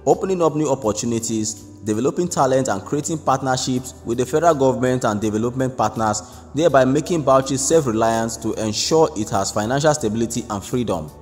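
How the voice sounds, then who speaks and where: A man narrating a scripted news report in a steady, even read-aloud delivery. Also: medium pitch, quick pace. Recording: long reverb with faint background music.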